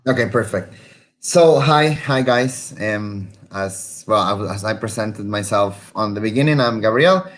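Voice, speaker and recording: A man's voice: on the low side, a little slow. A man from Honduras presenting on a webinar over a video call, in an informal, chatty way. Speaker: medical student presenter.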